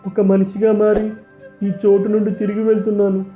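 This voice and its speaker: A man's voice: sits high, monotone, fairly quick, warm tone, faint music behind, natural voice. Man as humbled cartoon thief, earnest, repentant, dull sound, roomy echo. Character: humbled, repentant male thief turning honest. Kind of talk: animated moral-story dialogue. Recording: roomy, dull echoey recording.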